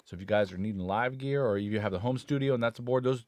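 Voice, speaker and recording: Male studio engineer co-host, mid-pitched, rambling and enthusiastic, dry close sound. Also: quick pace, a little mellow, no room sound. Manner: rambling, self-correcting, enthusiastic about gear. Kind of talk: casual live-stream gear chat.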